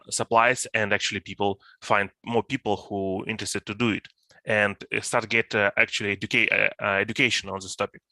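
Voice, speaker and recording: Halting Ukrainian-accented man, bright. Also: fairly low pitch, no room sound. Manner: hesitant, halting non-native English with many fillers. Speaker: volunteer. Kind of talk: video call discussion.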